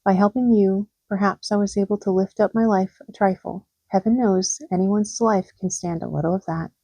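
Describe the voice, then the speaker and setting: A woman voicing a wise, calm female spider who speaks softly and gently. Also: mid-range voice, normal speed, dry recording, lightly acted, rough recording. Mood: gentle. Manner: calm, gentle, soft-spoken.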